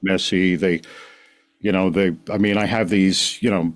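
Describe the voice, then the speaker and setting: A man's voice: deep voice, fairly even intonation, a little slow. Chatty New man in his sixties. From New York City, the Bronx. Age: 60s. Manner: rambling, self-interrupting, laughs at himself. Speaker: podcast guest. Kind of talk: casual conversation.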